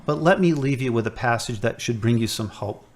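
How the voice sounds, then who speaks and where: A man playing a calm, measured hospital priest. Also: mid-range voice, natural voice. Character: gentle middle-aged male priest. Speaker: hospital chaplain. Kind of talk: amateur audio drama.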